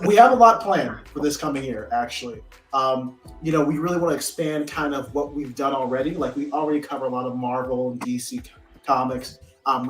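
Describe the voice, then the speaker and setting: Upbeat man hosting in an echoey room. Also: mid-range voice. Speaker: live stream host. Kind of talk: casual chat with friends. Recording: roomy echo, faint music behind.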